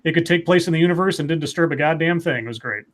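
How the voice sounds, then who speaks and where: Middle aged guy, slightly high voice, opinionated casual chat, emphatic and sweary. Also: rapid-fire. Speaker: podcast guest.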